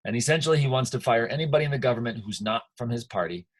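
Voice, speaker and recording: A man's voice: medium pitch, racing. An American man giving an informal history lecture as a teacher, conversational and emphatic, checking in with his listeners.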